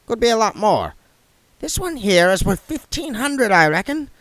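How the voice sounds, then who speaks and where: A man's voice: sits high, very animated, normal speed, fairly bright tone, no echo, voice pushed up. A man reading aloud and voicing a blunt, down-to-earth male dwarf who is keen on money. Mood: appraising. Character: gruff, practical male dwarf, money-minded.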